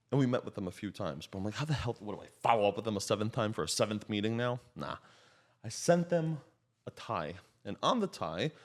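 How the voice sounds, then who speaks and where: A man with an average-pitched voice talks as a podcast guest. He has a slight Slovak accent from his first language. His storytelling is casual and rambling, with tangents and self-interruptions. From child of Slovak parents. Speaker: podcast guest, real estate agent.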